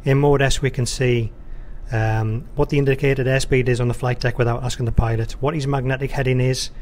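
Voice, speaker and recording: A man's voice: mid-range voice, steady tone, a little fast, darkish tone. A man who works as an air traffic controller, giving an informal, conversational instructional walkthrough sprinkled with radio phraseology. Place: indoor control room or workstation, beside a touchscreen.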